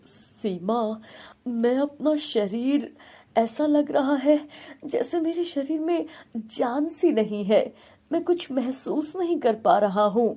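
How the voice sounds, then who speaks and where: A woman's voice: high voice, expressive, slow pace, warm tone, full character voice. A woman voicing an earnest, hardworking young village man in a story. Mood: weak, frightened. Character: ailing hardworking young husband and son.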